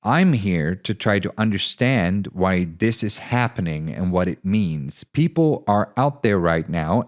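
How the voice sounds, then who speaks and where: A man's voice: fairly low pitch, darkish tone, voice pushed up. A man voicing a frustrated, idealistic war journalist in an audio story, speaking earnestly and insistently.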